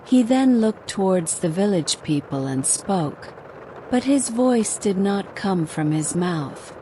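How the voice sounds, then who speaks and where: A woman narrates a folktale as a storyteller. Her delivery is slow, measured and dramatic, at a middling pitch. Clear ambient noise sits behind her. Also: dark tone, low-quality recording.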